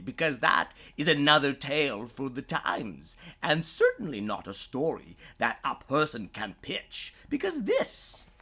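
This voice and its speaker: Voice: medium pitch, fairly bright tone. A storyteller reading rhyming tales for children in a playful, dramatic way, drawing out words and sometimes dropping toward a whisper. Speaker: storyteller reading own stories.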